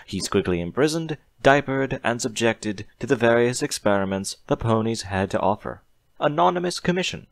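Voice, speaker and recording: A man's voice: medium pitch, lively intonation, moderate pace, vocal fry, dry recording. A man reading a story aloud in a scripted audiobook narration style.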